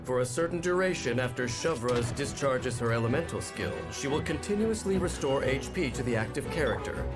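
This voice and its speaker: A man narrates a game showcase from a script. His delivery is measured and polished, at a medium pitch, with music clearly behind him. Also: expressive. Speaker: trailer narrator.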